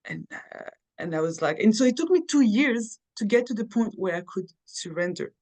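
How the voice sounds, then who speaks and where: A woman's voice: mid-range voice, lively intonation, normal speed, darkish tone. A woman from Belgium, a podcast guest, talking earnestly and telling personal stories in casual conversation with frequent restarts.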